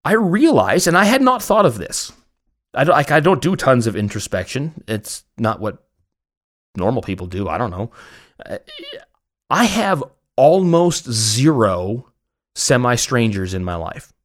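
A man's voice: medium pitch, very animated, unhurried, fairly bright tone. A man with a Canadian accent hosting a podcast, chatting in a casual, rambling way with a joking, self-mocking manner. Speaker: podcast host. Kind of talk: casual, rambling personal chat.

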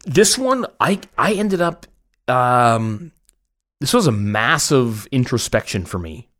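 A man's voice: mid-range voice, very animated, slow, fairly bright tone. Canadian guy podcast host, rambly casual chat, jokey and kinda self-mocking.

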